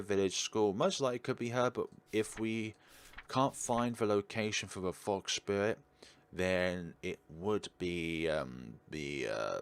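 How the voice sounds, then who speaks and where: A low-pitched man hosts a game playthrough. His delivery is lively and swings widely in pitch as he moves between reading narration aloud and making chatty asides. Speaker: gaming video host. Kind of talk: let's play commentary, reading visual novel text aloud.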